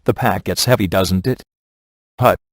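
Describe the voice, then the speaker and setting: A man playing a wisecracking, sardonic ghost hunter in a video game, with a deadpan, offhand delivery. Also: deep voice, measured pace, dry recording, clean studio sound. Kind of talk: video game voice acting, comic one-liners.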